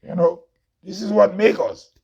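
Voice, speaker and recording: A man from Grenada with a Grenadian accent and a loud, heavy voice, hosting an impassioned monologue full of rhetorical questions. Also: high voice, fairly even intonation, moderate pace, creaky, husky. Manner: preachy, rhetorical questions, laughs often. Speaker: host. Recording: dull lo-fi recording.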